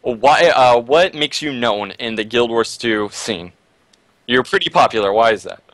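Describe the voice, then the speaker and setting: A man's voice: mid-range voice, lively intonation, measured pace, fairly bright tone, no echo. Upbeat man co-casting with a chuckle. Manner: upbeat, laughs often, keeps saying "absolutely". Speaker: esports co-caster and interviewer. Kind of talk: casual chat and color commentary.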